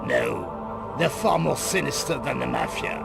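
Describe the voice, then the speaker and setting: An actor playing a grim, guarded middle-aged crime boss in a video game cut-scene, with a gravelly voice and grave, deliberate delivery. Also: male voice, medium pitch, sing-song, exaggerated voice. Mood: grave, ominous. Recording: loud music under the voice.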